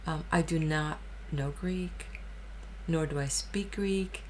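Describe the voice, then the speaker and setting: A woman hosting her own channel, talking casually and thoughtfully, with hesitations, restarts and soft near-whispered phrases. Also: low voice, slow pace, a little hiss or hum. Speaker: audiobook channel host. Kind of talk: casual spoken introduction.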